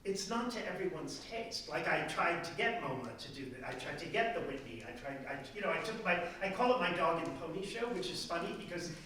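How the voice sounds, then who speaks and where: A man's voice: fairly high pitch, animated, brisk, few pauses, pushed and bright, slight background noise. A male art history professor talking casually, rambling and restarting his sentences as he answers an audience comment. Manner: rambling, self-interrupting, chatty. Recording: echoey hall, speaker far from the mic.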